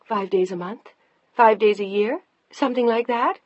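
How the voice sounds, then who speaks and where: A narrator voicing a tearful, defiant middle-aged wife who pleads and shouts, in a slightly muffled dry recording. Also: female voice, normal speed, dry recording, close to an everyday voice. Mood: distraught defiance. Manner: pleading and shouting, high-strung.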